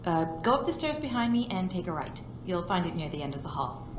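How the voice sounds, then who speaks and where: Lilting, courteous receptionist. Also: female voice, lowish voice, big pitch swings, quick pace, background noise. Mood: helpful, wry. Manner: courteous, helpful, slightly wry. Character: polite, chatty, wry female office receptionist. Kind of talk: video game cutscene acting. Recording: echoey lobby sound, clear background ambience.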